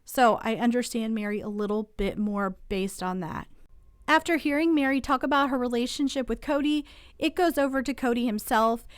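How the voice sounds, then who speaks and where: A woman's voice: on the high side, normal speed, clean tone, no echo, clean studio sound. A woman hosting a casual TV recap channel who is getting over a cold, talking in a chatty, opinionated way and asking viewers to weigh in.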